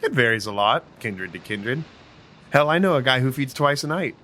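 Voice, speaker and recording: Brash, bright-voiced cocky vampire. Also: male voice, medium pitch, animated, normal speed, a little hiss or hum. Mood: brash, dismissive. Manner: flippant, sarcastic, slangy. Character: cocky, brash male vampire mentor, casually callous. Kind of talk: audio drama acting.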